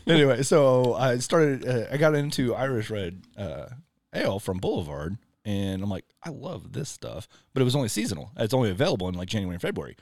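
A man's voice: medium pitch, big pitch swings, a little fast, a little mellow, no echo, studio quality. A man telling a long personal story in casual chat, rambling with lots of "like" and "you know". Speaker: podcast guest.

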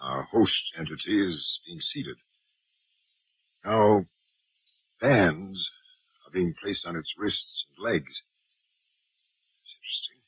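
An actor playing a confident, imperious alien invasion commander in a 1950s radio drama, with clipped, formal military speech. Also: male voice, sits low, expressive, slow, long pauses, slightly rough, no room sound, exaggerated voice. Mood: hushed, watchful. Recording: muffled vintage 1950s radio broadcast.

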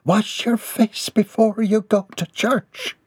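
A man's voice: high-pitched, fairly even intonation, slow, husky, no echo, clean studio sound, pitched above the performer's own voice, full character voice. A man voicing a dotty, stubborn old mother in a storybook, with a batty, indignant manner. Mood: indignant muttering. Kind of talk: storybook character voice.

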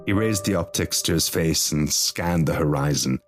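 A man's voice: extremely low pitch, normal speed, over loud music. A man reading a scripted horror story as its narrator.

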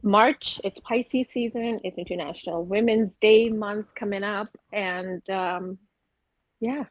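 A woman's voice: mid-range voice, slow, no echo, low-quality recording. A woman from Afghanistan talking in an earnest, opinionated way on a casual podcast, mixing in spiritual ideas. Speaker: podcast co-host.

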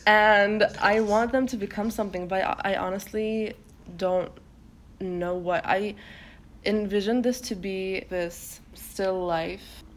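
A young woman from Turkey, a native Turkish speaker, talking casually as a podcast guest, often pausing and restarting her sentences. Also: mid-range voice, steady tone. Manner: hesitant, many fillers and self-restarts. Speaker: guest poet.

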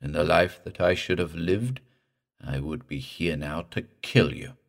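A man voicing a guttural, slow-drawling drowned corpse. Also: low voice, vocal fry. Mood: menacing. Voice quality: guttural, gurgling. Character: undead drowned man, slow, creepy, implacably vengeful.

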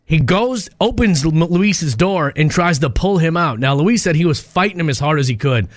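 A man with a fairly high voice hosts a radio show in a casual, conversational style. He tells stories informally, as if chatting with a friend. The sound is dry and close. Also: expressive, moderate pace, no echo. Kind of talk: casual radio talk, telling a news story.

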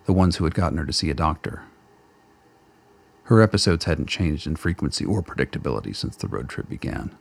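A man reading the narration of a radio play in a measured, wry storytelling manner. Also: rumbling low, very animated, gravelly. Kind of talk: scripted narration.

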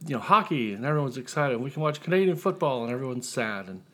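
A man's voice: medium pitch, animated, fast talker, fairly bright tone. A man from the United States chatting as an author guest, explaining things in a conversational way. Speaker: author guest, humor writer and ghostwriter. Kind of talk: casual interview chat.

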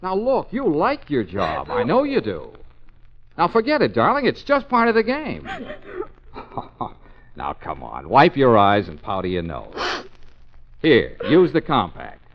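Male lead for vintage radio comedy-mystery: slick, scheming detective, very animated, wheedling and bossy, muffled old recording. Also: medium pitch, a little slow, pauses often, heavily acted. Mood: coaxing. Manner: stammering bluster, sweet-talking, bossy. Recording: dull vintage radio recording, faint noise.

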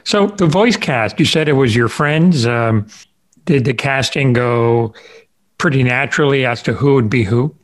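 A man hosting a podcast, asking questions in a casual, halting interview style. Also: medium pitch.

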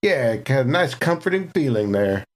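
A player in a tabletop game, relaxed and chatty, describing his character in the third person. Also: medium pitch, moderate pace. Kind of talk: casual tabletop game play.

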